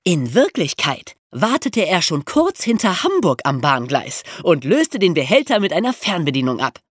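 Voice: mid-range voice, big pitch swings, moderate pace, a bit bright, breathy edge, no room sound, clean studio sound. A podcast host telling true crime stories for young listeners in an amused, colloquial way.

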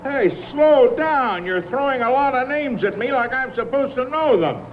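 Cagey killer, tinny old radio. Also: male voice, extremely high pitch, expressive, normal speed. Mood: evasive, wary. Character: cagey male killer, smooth, patronising, coolly menacing. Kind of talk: radio mystery drama acting. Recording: tinny band-limited vintage radio, faint noise.

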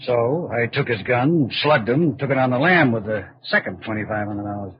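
A man's voice: medium pitch, expressive, brisk, lightly acted. Scheming male swindler and killer, played by an actor, slangy and cocky, vintage radio drama sound with dull top end and faint noise. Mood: bragging, hard-boiled. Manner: slangy, breezy tough-guy talk. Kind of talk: old-time radio crime drama acting. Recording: muffled, noisy old radio drama recording.

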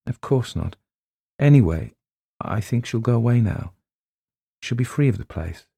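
A man voicing a gentle, hesitant young female teacher in a read story. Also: mid-range voice, lively intonation, measured pace, gravelly, breathy edge, no echo, voice pushed up, exaggerated voice. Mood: hushed.

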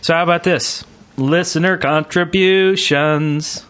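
A man's voice: a little high, fairly even intonation, slow pace, fairly bright tone. A man co-hosting a podcast in a casual, joking, conversational way. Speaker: podcast co-host.

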